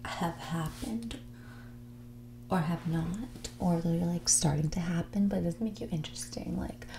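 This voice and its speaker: A woman playing a mean, condescending rich young woman on a first date in a whispered, soft-spoken ASMR roleplay, with a bored drawl. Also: lowish voice, a little slow, gravelly, noisy background. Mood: bored disdain. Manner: condescending, drawling, bored, valley-girl filler "like".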